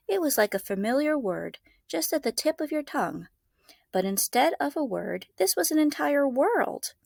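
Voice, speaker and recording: A woman, a librarian, reading a children's storybook aloud in an expressive storytelling style. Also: medium pitch, creaky, no echo, clean studio sound.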